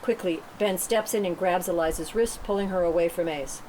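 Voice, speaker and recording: A woman's voice: fairly low pitch, fairly quick, warm tone. A woman reading scripted stage directions as narrator at a table read, in a noisy room.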